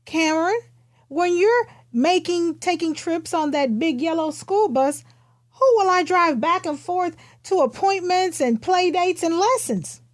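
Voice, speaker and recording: Woman reading as high-voiced fretful storybook relative. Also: expressive, unhurried, no echo, clean studio sound. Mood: worried, pleading. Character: fretful storybook family and neighbours questioning a little girl.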